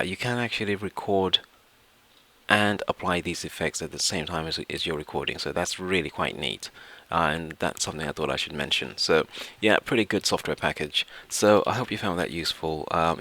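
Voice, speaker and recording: A man narrating an informal software tutorial step by step, hesitating and repeating himself as he goes. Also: low-pitched. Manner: hesitant, repetitive, explains step by step. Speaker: tutorial presenter.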